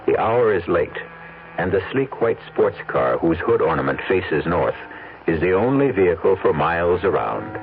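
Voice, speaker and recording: A man with a low voice and richly varied intonation narrates a radio mystery. His delivery is theatrical, measured and ominous, on a muffled vintage recording with music behind. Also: sing-song, vocal fry, a little hiss or hum. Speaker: host and narrator. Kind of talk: dramatic scripted radio narration.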